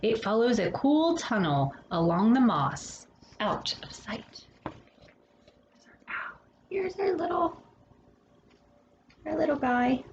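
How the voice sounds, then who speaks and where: A woman hosting a storytime, reading a children's picture book expressively with dramatic pauses and casual asides. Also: on the high side, dull audio. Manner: animated, dramatic pauses between phrases. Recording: roomy echo with faint background noise.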